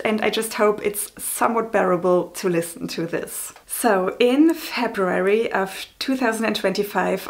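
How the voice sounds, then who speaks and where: A woman's voice: mid-range voice, gravelly. A woman in her thirties with a German accent, talking casually to camera in a vlog, chatty and a little apologetic, with frequent ums. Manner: chatty, apologetic, many ums and small laughs. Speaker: vlogger.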